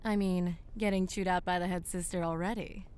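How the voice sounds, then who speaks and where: A woman's voice: fairly low pitch, fairly even intonation, fairly quick, a little mellow, clear, resonant tone, close to an everyday voice. Woman playing a composed teenage student council president. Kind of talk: anime fan dub acting. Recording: music under the dialogue.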